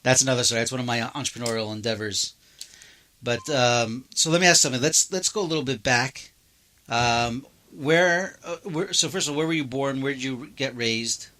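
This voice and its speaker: A man's voice: medium pitch, brisk, bright, forceful tone. A man hosting a podcast interview, chatty and friendly, asking short questions and laughing easily. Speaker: podcast host. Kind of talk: casual interview.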